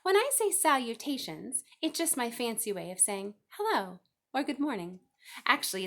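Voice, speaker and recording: A woman's voice: medium pitch, fairly bright tone. A woman voicing a small, kindly, well-spoken spider with a thin voice in a children's storybook. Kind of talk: children's storybook read-aloud.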